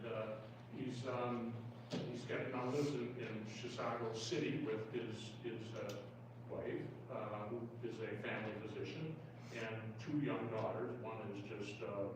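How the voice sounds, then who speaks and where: An older American man hosting an event and introducing a speaker informally, halting as he searches for words. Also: mid-range voice, steady tone. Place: indoor town hall meeting room. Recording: echoey room, distant mic, background noise.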